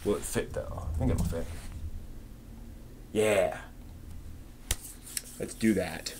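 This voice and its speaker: A man's voice: fairly low pitch, takes it slowly, darkish tone, slight background noise. A man hosting a live stream, chatting casually with viewers in an informal, rambling way as he thinks aloud.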